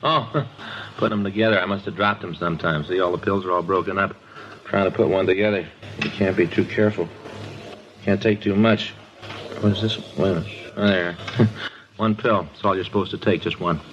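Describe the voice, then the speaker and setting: A man's voice: sits low, steady tone, a little fast, lightly acted. Guy acting a tired fussy cop partner, chatty, muffled noisy old fifties radio show. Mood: absorbed, fussy. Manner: fussy, conversational. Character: weary, fussy, talkative male police detective sidekick. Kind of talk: 1950s radio acting, naturalistic dialogue.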